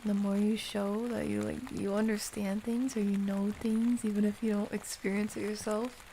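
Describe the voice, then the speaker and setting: A woman hosting an ASMR livestream, chatting casually and softly with viewers in a playful, affectionate, unhurried way, sometimes close to a whisper. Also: medium pitch, little pitch movement, creaky, slight background noise, low-quality recording. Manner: soft-spoken, unhurried, drifting into whispers.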